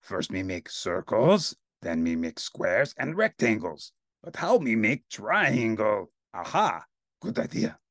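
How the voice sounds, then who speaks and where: Gravelly cookie-hungry monster. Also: male voice, lowish voice, sing-song, takes it slowly, a bit bright, no room sound, clean studio sound, heavily acted. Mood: gleeful. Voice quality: gravelly, husky growl. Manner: broken caveman grammar, self-called "me". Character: gravelly cookie-obsessed male monster, gleeful and greedy.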